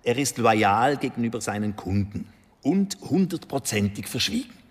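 An actor playing a discreet bank adviser in a radio drama, formal, measured and businesslike. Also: male voice, medium pitch, sing-song, long reverb tail, lightly acted. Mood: formal, guarded. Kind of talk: German radio drama acting.

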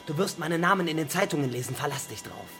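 A man's voice: on the high side, expressive, fast talker, sharp tone, soft background music. An actor playing a bitter young man in a radio crime drama, taunting and threatening his father with contemptuous swearing.

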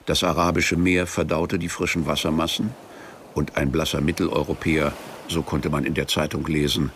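A man narrating a literary radio crime drama in a measured, deadpan manner, as a cool, sardonic storyteller. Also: rumbling low, a little hiss or hum. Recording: music under the voice.

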